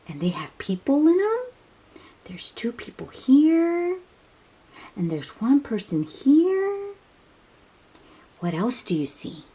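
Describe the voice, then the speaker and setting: Slow, animated, breathy woman reading to children. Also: mid-range voice. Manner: animated, drawn-out, questioning delivery aimed at little children. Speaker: children's read-aloud host. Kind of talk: picture-book read-aloud to young children.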